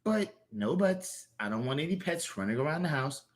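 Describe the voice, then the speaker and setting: A man's voice: on the high side, sing-song, moderate pace, pitched above the performer's own voice. A man voicing an eccentric old country grandmother in a comic story, homely, doting and tough. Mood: sweet, unbudging.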